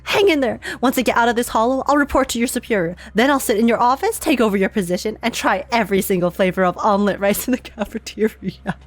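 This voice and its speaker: A woman's voice: a little high, fast talker, few pauses, lightly acted. A woman voicing a bubbly, ambitious young female subordinate in a video game, talking gleefully with theatrical self-importance. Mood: gleeful, mock-touched.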